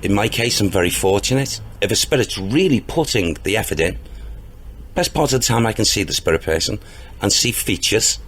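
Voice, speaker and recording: A man with a booming, deep voice answers interview questions in an earnest, rambling way, restarting his sentences as he goes. His low voice swings widely in pitch as he talks. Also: expressive, fairly quick, a bit bright, slightly rough. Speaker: interview guest, spiritual medium. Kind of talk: casual interview talk.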